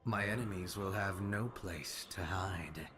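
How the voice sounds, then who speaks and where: A man voicing an arrogant young shadow assassin in a video game, cold and menacing, with haughty, taunting, deliberate delivery. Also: low voice. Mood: haughty, sinister. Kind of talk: video game character voice lines. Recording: game audio with music behind.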